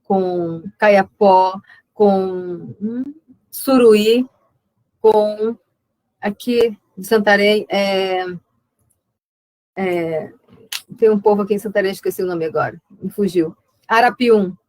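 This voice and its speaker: A woman's voice: medium pitch, slow, pauses often, a little mellow. An invited woman writer on an online panel, northern Brazilian accent, thinking aloud and circling back over her words in a dry, echo-free spot. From Amazonas, Brazil (Alto Solimões). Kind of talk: conversational talk in a web conference. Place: remote web conference.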